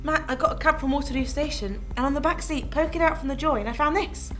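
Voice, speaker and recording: A woman playing an outspoken, excitable journalist in a radio thriller, urgent and emotional. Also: high voice, quick pace, soft background music. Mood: curious, pressing. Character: outspoken, excitable young female journalist in danger. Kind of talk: radio thriller drama acting.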